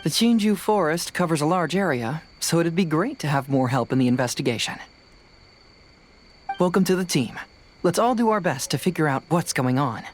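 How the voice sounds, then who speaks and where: A man's voice: on the high side, lively intonation, slight background noise, lightly acted. Man as a composed, youthful detective. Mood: friendly, composed. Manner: deliberate, reasoning aloud. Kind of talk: video game voice acting.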